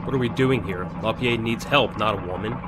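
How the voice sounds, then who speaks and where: A man's voice: fairly low pitch, brisk, noisy background, lightly acted. A man playing a principled army major in an audio drama, direct, earnest and businesslike. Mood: impatient. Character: firm, principled army major leading his men.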